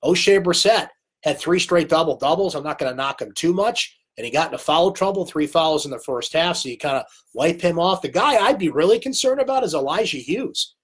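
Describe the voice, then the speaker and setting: A man hosting a late-night live sports recap in a fairly high voice, chatty and opinionated. His voice is worn by a cold, and he talks straight into a webcam. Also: lively intonation, a little fast, breathy edge. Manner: chatty, opinionated, reads viewer comments aloud. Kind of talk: casual live-stream sports talk.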